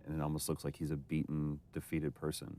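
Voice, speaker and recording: A man with a gravelly voice giving emphatic, admiring commentary in a casual conversational style. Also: completely flat delivery, fast talker, warm tone. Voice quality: gravelly, very deep. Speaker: director's commentary participant. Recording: faint noise, game audio behind.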